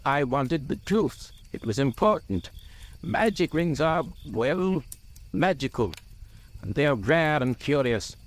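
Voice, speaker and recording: A man voicing a grave old male wizard in a storybook, stern and patient, with a quiet, measured manner. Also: on the high side, big pitch swings, pitched above the performer's own voice. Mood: grave, reasoning.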